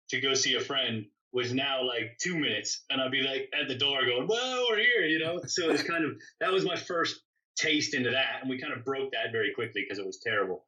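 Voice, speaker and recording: Bright-voiced man, rambling, slightly distant mic. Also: medium pitch. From born in England, grew up in Canada. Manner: rambling, self-correcting, self-deprecating. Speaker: interview guest, custom motorcycle builder. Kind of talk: casual conversational storytelling. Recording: dull, distant microphone sound.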